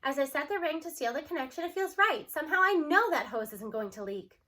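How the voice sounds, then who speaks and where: A woman with a high, bright voice reads a children's novel aloud as the storyteller. Her delivery is expressive and clearly shaped for young listeners, with a forward, crisp edge to the tone. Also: brisk. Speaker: audiobook reader. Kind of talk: expressive read-aloud of a children's novel in a student's first-person voice.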